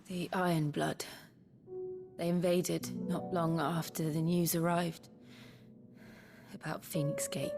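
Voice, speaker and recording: An actress playing a grave, tender young noblewoman who was once a war captive, with a British accent and a hushed, earnest manner, in a video game. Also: lowish voice, gravelly. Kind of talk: dramatic video game cutscene acting. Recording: music under the voice.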